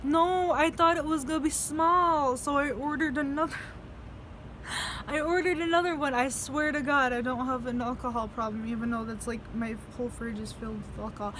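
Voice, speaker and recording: A woman's voice: sits high, fairly even intonation, normal speed, fairly bright tone, slight background noise. A young woman telling stories in a casual video diary, rambling, swearing freely and laughing between sentences. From United States. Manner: rambling, profane, laughs often. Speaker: vlogger.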